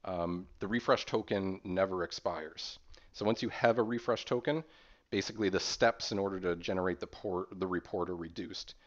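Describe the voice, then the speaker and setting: A man presenting an informal screen-share software tutorial in a hesitant, explanatory way. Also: sits low, normal speed. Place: voice-over recorded over screen capture. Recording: dull, muffled lo-fi audio.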